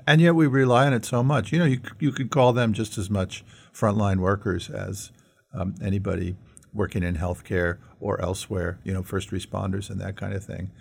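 A man's voice: lowish voice, little pitch movement, moderate pace, gravelly, husky. A middle-aged American man co-hosting a conversational podcast, speaking earnestly and posing rhetorical questions. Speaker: podcast co-host. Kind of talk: conversational discussion.

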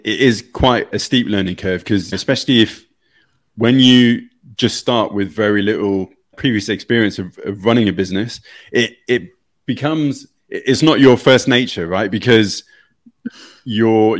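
A man hosting a podcast interview, asking long questions that he keeps rephrasing and correcting. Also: fairly low pitch. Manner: rambling, self-correcting questions. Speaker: podcast host. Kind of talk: conversational interview.

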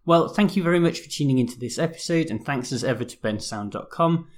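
Slightly hoarse man explaining law. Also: fairly high pitch, expressive. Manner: measured, explanatory, reads from a script. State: a bit hoarse, losing his voice. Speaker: law podcast host. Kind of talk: scripted legal commentary podcast.

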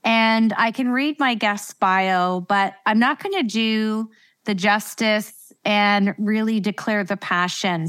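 A woman's voice: a little high, fairly bright tone, clear, resonant tone. A woman speaking as a podcast host, delivering a prepared introduction and welcome.

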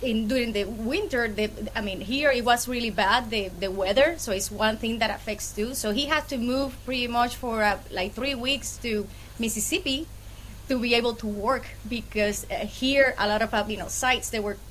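Higher-pitched Puerto Rican woman. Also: moderate pace, a bit bright, a little hiss or hum, low-quality recording. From Puerto Rico. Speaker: podcast guest, freight brokerage owner. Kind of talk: casual interview chat.

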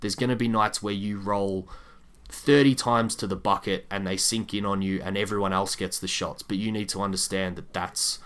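A man co-hosting a sports podcast, giving measured, candid analysis in a casual discussion. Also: on the low side, steady tone, fairly bright tone, clear, resonant tone.

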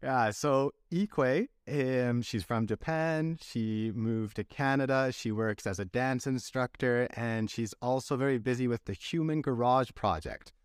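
A man hosts an interview podcast in a friendly, relaxed way. His voice is smooth and clear, at an ordinary male pitch. The recording is dry and close, with no room sound. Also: measured pace, hardly any pauses, dry recording. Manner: friendly, relaxed, reads a scripted intro. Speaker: podcast host. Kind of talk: casual interview.

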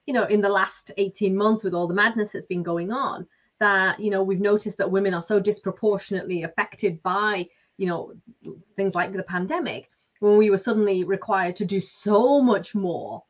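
A woman's voice: mid-range voice, moderate pace. Woman, Lancashire accent, forties, chatty, filler-heavy, slightly muffled audio. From Lancashire, England. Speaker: business coach, guest. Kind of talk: casual interview talk. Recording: slightly dull lo-fi sound.

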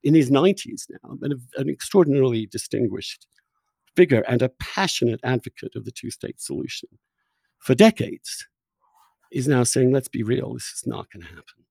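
A man's voice: mid-range voice, animated, moderate pace, vocal fry, warm tone, husky, dry recording. A man hosting an argumentative political conversation, blunt and insistent, often stammering into restarts as he presses his points. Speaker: podcast host and interviewer.

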